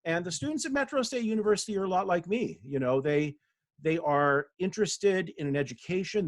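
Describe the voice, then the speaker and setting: A man's voice: fairly high pitch, no room sound. A man from Denver giving a humble, self-deprecating talk as a guest author over a video call, with many fillers and restarts. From Denver, USA. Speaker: guest speaker, author and professor.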